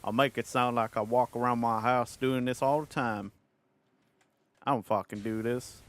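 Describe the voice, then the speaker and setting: A man's voice: medium pitch, fairly even intonation, normal speed. British man putting on a Southern cowboy drawl. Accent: put-on American Southern cowboy drawl. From United Kingdom. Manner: self-conscious, profane, playful rambling in a put-on accent. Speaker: livestreamer talking to chat. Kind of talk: casual livestream chat.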